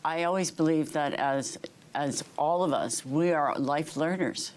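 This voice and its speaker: Low-voiced woman, earnest and halting. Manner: earnest, hesitant with many fillers. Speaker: school trustee candidate. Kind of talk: candidate interview answers.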